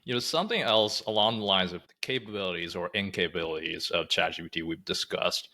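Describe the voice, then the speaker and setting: A man whose first language is Mandarin Chinese, co-hosting a podcast and asking a long, deliberate question with many fillers. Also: deep voice, sharp tone. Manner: deliberate, frequent "uh" and "you know". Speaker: podcast co-host. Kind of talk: podcast conversation.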